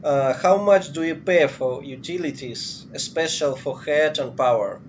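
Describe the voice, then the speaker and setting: A man asking interview questions in halting English, often laughing. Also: on the high side. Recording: dull lo-fi audio with faint noise.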